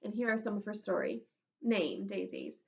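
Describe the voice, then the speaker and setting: Woman teacher reading aloud, very expressive. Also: mid-range voice, moderate pace, gravelly, highs cut off. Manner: expressive, addressing young pupils. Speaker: elementary school teacher. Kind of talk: children's storybook read-aloud with asides.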